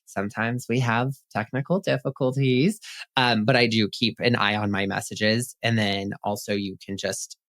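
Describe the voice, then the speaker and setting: A man's voice: lowish voice, fairly even intonation, moderate pace, a bit bright. A man being interviewed as a pet psychic on a podcast, chatty and upbeat, with some casual swearing.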